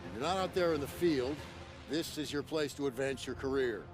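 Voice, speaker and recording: A man's voice: mid-range voice, brisk, loud music behind the voice, close to an everyday voice. A man playing an encouraging World War II army officer, briefing recruits firmly in a scripted game cutscene. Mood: firm, rallying.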